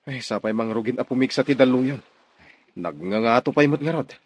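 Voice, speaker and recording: A man's voice: mid-range voice, brisk, breathy edge, natural voice. An actor playing a weary, anxious ship's crewman in a radio drama, earnest and worried.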